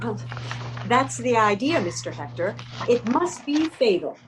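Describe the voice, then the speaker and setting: A determined, eccentric old lady acted by a woman in a stage comedy. She is formal and matter-of-fact, with a highish voice and lively intonation, over faint background noise. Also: unhurried. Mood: resolute. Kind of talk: comedic readers theater acting.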